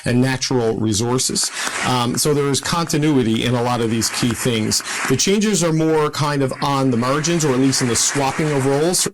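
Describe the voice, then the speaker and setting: Canadian news guy commenting live, average pitch, keeps stumbling and fixing what he says. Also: noisy background. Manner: halting, self-correcting. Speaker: television news journalist.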